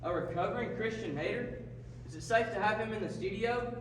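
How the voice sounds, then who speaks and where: Big reverberant hall. Male performer as high-pitched Southern host puppet, showy and folksy. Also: brisk, natural voice. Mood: upbeat, folksy. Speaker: lead host of a puppet talk show. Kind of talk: scripted comedy talk show skit on stage. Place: large echoey hall, live performance. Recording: echoey hall from far off, noisy.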